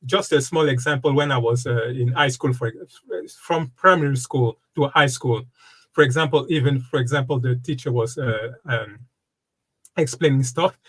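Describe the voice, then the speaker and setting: A man in his fifties, originally from the Democratic Republic of Congo, talks as a guest in a relaxed interview. His delivery is halting, full of fillers and repeated starts, at a middle pitch. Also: breathy edge. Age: 50s. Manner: halting, many fillers and restarts. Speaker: guest, wellness coach and speaker. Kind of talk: casual interview conversation.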